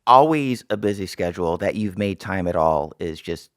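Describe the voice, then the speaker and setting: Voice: sits low, lively intonation, normal speed, dry recording, studio quality. A middle-aged podcast host asking casual questions, often stopping and restarting. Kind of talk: casual interview chat.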